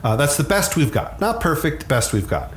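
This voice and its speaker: Lively male expert over background noise. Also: a little high, normal speed. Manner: hesitant starts, repeats words, candid. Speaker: sleep scientist, expert guest. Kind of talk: conversational expert explanation.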